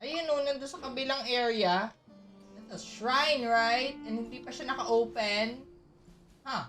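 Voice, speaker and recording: A woman's voice: on the high side, unhurried, fairly bright tone, far from the mic, faint music behind. A woman with a Filipino accent doing casual live commentary as she plays a game, reacting aloud and switching between languages. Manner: reacts aloud, mixing English and Tagalog. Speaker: game streamer.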